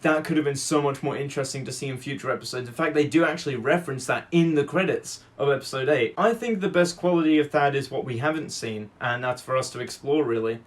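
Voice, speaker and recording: A man with a fairly high voice delivers opinionated fan commentary. He is emphatic and assertive, leaning on his points. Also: fast talker.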